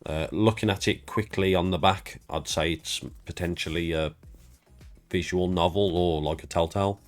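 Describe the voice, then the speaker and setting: A man's voice: low-pitched, fairly even intonation, normal speed, soft background music. A man with a congested, stuffed-up voice, rambling casually and self-deprecatingly as he walks through his game collection for his channel. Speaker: YouTube creator.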